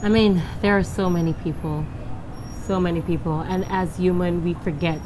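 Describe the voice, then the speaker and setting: A young woman with a Guyanese accent answers in an assured, emphatic way, her voice sitting on the low side for a woman. She is recorded outdoors on a terrace, with clear background noise under her voice. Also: fairly even intonation, normal speed. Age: young adult. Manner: confident, laughs often, speaks with emphasis. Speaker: singer and interview guest. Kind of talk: casual interview answers. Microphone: handheld mic held by the interviewer.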